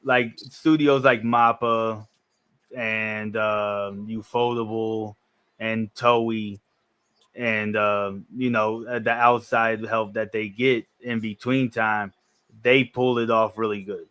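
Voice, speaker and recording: Smooth-voiced man in his thirties. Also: on the low side, takes it slowly. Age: 30s. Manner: relaxed, agreeable, thinks aloud with restarts. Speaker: podcast host. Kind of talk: casual chat.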